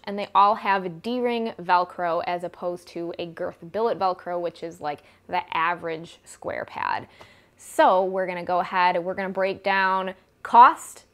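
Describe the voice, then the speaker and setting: A woman's voice: medium pitch, fairly bright tone. A woman in her twenties with a Midwestern American accent, giving a chatty, informal product review as a vlogger. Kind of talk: casual product review to camera. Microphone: clip-on lapel mic.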